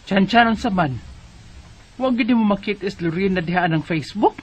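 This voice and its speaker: A woman acting out an older mother who worries about her grown son and presses him with questions. Her voice sits at an ordinary middle pitch. Music plays clearly underneath. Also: lively intonation, moderate pace, lightly acted. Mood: concerned. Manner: inquisitive, fussing. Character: worried mother of a man searching for his family. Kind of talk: radio drama acting.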